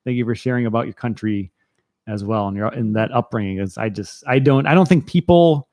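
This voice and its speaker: A man of middle pitch hosts a casual talk show in a dry, close-miked sound. He speaks earnestly and encouragingly, letting his sentences run on and double back. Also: a little fast, a little mellow, no echo. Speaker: podcast host. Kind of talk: casual conversational interview.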